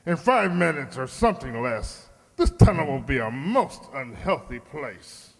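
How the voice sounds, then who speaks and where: A man's voice: mid-range voice, sing-song, a little slow, gravelly, exaggerated voice. A man playing a cold, scheming crime boss in a radio drama, pompous, menacing and imperious. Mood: smug, menacing. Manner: pompous, menacing, theatrical delivery. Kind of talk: old-time radio drama acting. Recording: long hollow reverb.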